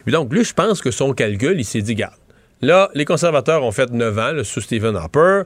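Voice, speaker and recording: A man's voice: mid-range voice, lively intonation, normal speed, no echo. A middle-aged man with a Québécois French accent, giving rambling political analysis in a casual chat and often restarting his sentences. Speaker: political commentator.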